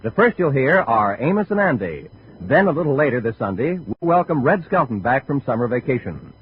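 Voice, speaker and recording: A man's voice: mid-range voice, very animated, brisk, husky. A man working as a 1950s network radio announcer, delivering promotional copy in a polished, presentational way. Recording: dull, faintly noisy 1950 radio recording.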